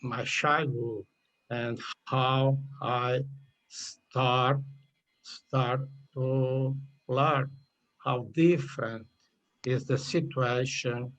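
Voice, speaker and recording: An older man from Portugal with a Portuguese accent, speaking English haltingly on a video call about the essay he wrote. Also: medium pitch, a bit bright, highs cut off. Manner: halting, searching for words, apologetic. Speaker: contest winner, retiree.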